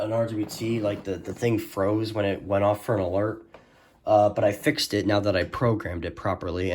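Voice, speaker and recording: A man giving a casual, unscripted show-and-tell as a video host, rambling hesitantly and often correcting himself. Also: medium pitch. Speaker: host of a radio collection video. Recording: noisy home recording with clicks.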